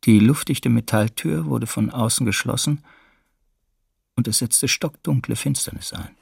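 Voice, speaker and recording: An actor playing a sober wartime witness who recounts his arrest in measured first-person testimony, in a documentary radio play. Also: mid-range voice, vocal fry, darkish tone, breathy edge, no echo, clean studio sound, heavily acted. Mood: grim.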